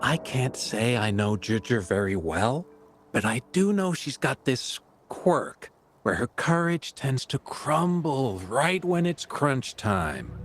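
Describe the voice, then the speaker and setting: A man's voice: medium pitch, sing-song, takes it slowly, a little hiss or hum. An actor voicing a teasing, talkative male pigment merchant in an anime-style game, with a chatty, playful and familiar manner.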